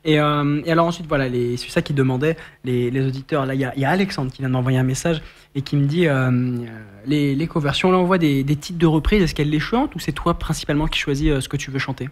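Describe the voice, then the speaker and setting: Fast-talking man hosting radio. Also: fairly high pitch. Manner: hesitant, repeats words while phrasing questions. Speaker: radio show host. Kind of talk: casual radio interview.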